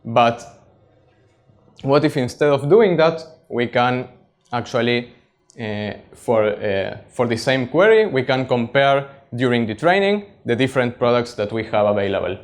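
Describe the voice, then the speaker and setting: Male speaker, 30s, Argentine Spanish accent, native Spanish. Data scientist presenting at a meetup, mid-range voice, explanatory, many pauses. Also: medium pitch, lively intonation. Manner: halting, frequent pauses, plain explanatory. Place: meetup stage before an audience.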